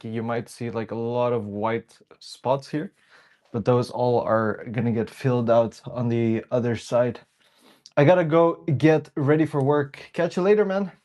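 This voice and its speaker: A young man with a bit of a cold hosts a relaxed livestream, talking as he works. He chats easily and greets viewers by name as they arrive, in a voice of ordinary middle pitch. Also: moderate pace. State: sniffly. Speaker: livestream host. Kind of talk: casual live-stream chat while working.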